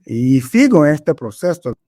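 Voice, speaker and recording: A man from Angola whose first language is Portuguese, a pastor telling his life story in a podcast interview, halting and searching for words with many fillers. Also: a little high, very animated, warm tone, dry recording, studio quality. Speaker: pastor, guest.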